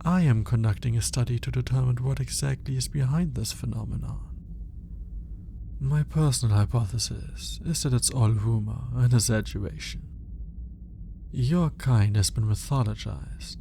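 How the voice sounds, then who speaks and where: A man playing a cold, condescending alien scientist in an ASMR roleplay, speaking in a clinical, formal, deliberate way. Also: mid-range voice, gravelly, warm tone, a little hiss or hum. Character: cold, aloof male alien scientist studying a human captive.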